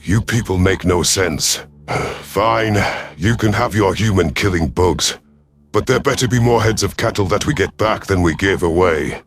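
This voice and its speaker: A man voicing a cold, calculating alien predator commander in a sci-fi audio story, imperious and menacing, with a rough husky voice. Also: low-pitched, moderate pace, lightly acted. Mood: imperious, threatening. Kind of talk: dramatic first-person sci-fi audio story.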